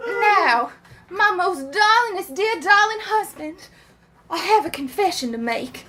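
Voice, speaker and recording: A woman's voice: extremely high pitch, lively intonation, pushed and bright, a little hiss or hum, exaggerated voice. A woman playing a melodramatic belle wife, genteel yet bawdy, reading love letters for comedy. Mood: swooning confession. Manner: genteel, drawn-out, theatrical.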